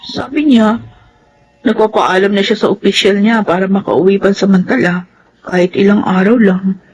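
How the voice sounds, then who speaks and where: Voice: medium pitch, steady tone, normal speed, muffled recording, faint music behind, close to an everyday voice. An actor with a Filipino accent playing a worried, sympathetic younger sibling in a radio drama. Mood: concerned, sad.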